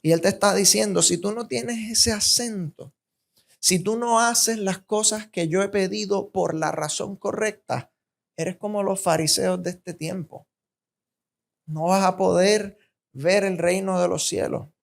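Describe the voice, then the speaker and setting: Slow, emphatic Puerto Rican woman preaching. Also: mid-range voice, steady tone, creaky. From Puerto Rico. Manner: emphatic, deliberate, repetitive phrasing. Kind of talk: sermon.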